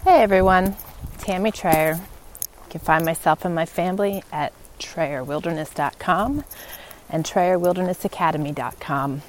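A woman hosting a podcast in a reflective, unscripted monologue, pausing mid-sentence as she thinks, recorded outdoors on a walk. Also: fairly low pitch, slight background noise. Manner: halting, pauses mid-sentence while thinking. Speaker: podcast host.

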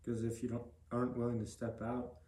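A man's voice: mid-range voice, monotone, fairly quick, dark tone, echoey room. A young adult man answering casually as an interview guest, in brief, plain-spoken replies. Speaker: podcast guest.

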